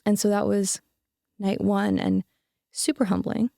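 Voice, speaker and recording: A young woman shares a personal story on a podcast, earnest and full of fillers and restarts. Her mid-pitched voice is warm and mellow with a gravelly edge. The sound is dry and close. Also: flat delivery, unhurried, no echo. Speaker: podcast guest. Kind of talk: casual reflective storytelling.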